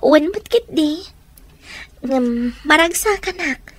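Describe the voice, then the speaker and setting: A woman's voice: high-pitched, lively intonation, slow pace. An actress playing a humble, earnest young woman in an Ilocano radio drama, with an Ilocano accent. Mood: warm, modest.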